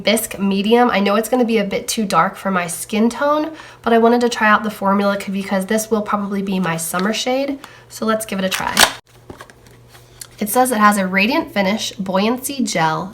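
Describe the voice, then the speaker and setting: Slightly hoarse woman chatting through a makeup review. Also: medium pitch, moderate pace, slight background noise. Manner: chatty, talking while applying makeup. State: hoarse from a cold. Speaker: beauty vlogger.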